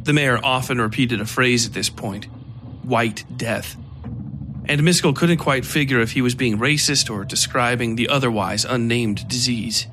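A man's voice: medium pitch, normal speed, bright, forceful tone. Male horror narrator over faint background noise. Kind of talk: scripted narration of dark horror fiction.

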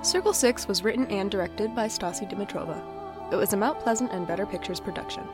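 A woman's voice: mid-range voice, quick pace. A woman reading scripted production credits as an announcer. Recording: prominent music under the voice.

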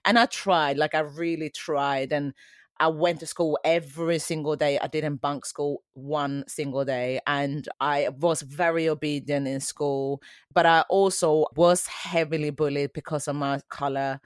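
A woman's voice: low voice, fairly even intonation, unhurried, no echo. Woman in her 40s telling her story earnestly, thoughtful, very dry close sound. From Sweden. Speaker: dance teacher guest. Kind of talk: interview answers.

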